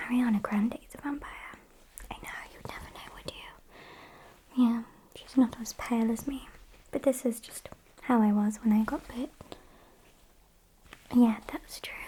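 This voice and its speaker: A British woman playing a sassy, morbid gothic vampire kidnapper in a whispered ASMR roleplay, soft, unhurried and deadpan. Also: fairly high pitch, slight background noise, rough recording. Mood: chatty, smug. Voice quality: breathy whisper.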